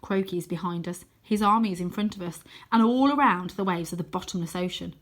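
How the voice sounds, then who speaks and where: Expressive woman narrating a children's story. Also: fairly low pitch. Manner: dramatic, deliberate, dropping to hushed tones for suspense. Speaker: teacher reading aloud.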